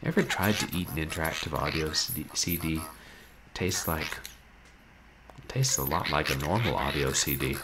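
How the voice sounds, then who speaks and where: A man in his thirties, a game streamer chatting casually at home in a wry, rambling, animated way. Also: extremely low pitch, normal speed, background noise. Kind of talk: casual livestream chat. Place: small room at home, indoors.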